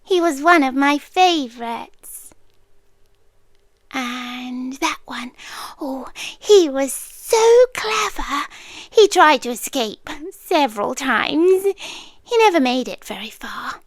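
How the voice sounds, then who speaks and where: A British woman playing a possessive, centuries-old monster girl in an ASMR roleplay, softly coaxing and sweetly sinister, often dropping into a breathy whisper. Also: high-pitched, lively intonation, dry recording. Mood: fondly sinister. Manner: slow, coaxing, eerily reassuring with dramatic pauses. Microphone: close ASMR microphone, dry sound.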